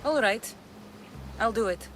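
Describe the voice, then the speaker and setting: A woman's voice: a little high, lively intonation, measured pace, noisy background. An actress playing a tough, wry Greek mercenary woman in a video game, speaking in a dry, guarded, blunt way. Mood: businesslike.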